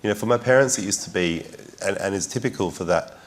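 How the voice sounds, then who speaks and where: A man's voice: deep voice, fast, a little hiss or hum. A man speaking on a discussion panel, thoughtful, often repeating words as he builds a point.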